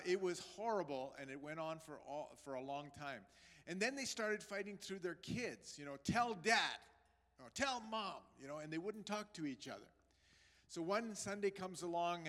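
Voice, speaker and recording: A man's voice: a little high, a bit bright. A man in his sixties, born in Pakistan, preaching a sermon in an earnest, conversational way with asides to his congregation. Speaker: pastor. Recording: long hall reverb.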